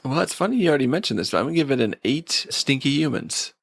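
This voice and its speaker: Male podcast co-host, casual and joking, lively pitch movement, creaky edge, dry close sound. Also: fast, dry recording. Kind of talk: casual TV episode review chat.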